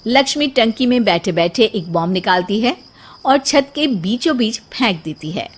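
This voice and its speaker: A woman's voice: fairly high pitch, expressive, moderate pace. A woman narrating a scripted story as the storyteller.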